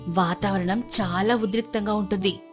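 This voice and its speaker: A woman's voice: medium pitch, steady tone, normal speed, faint music behind. A woman reading scripted narration of a folk tale as its storyteller.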